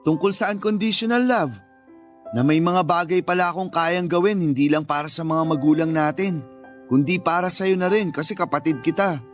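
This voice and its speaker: Actor as high-voiced, devoted younger brother. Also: male voice, normal speed, faint music behind, close to an everyday voice. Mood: earnest, reflective. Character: devoted, selfless younger brother, a gentle schoolteacher. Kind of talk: sentimental radio drama dialogue.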